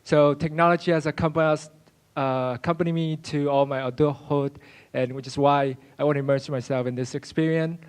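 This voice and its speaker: Young man, from Venezuela, student presenter, halting delivery, smooth clear voice. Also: a little high, steady tone. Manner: halting, many uh fillers and restarts. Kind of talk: project presentation.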